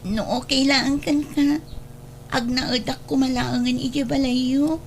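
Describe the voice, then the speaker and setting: Woman doing a meek sorry young daughter in radio drama, high pleading voice, slight noise. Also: fairly even intonation, measured pace. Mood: meek, anxious. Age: young adult.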